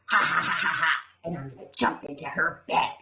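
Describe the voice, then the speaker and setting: A woman reading a storybook, voicing a sly male wolf with a low, slow drawl, in a dull, echoey room. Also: creaky.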